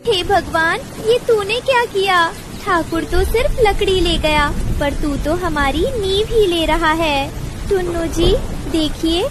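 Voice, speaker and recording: A woman's voice: very high-pitched, background noise, pitched above the performer's own voice. A woman voicing a desperate mother bird in a cartoon, dramatic and pleading.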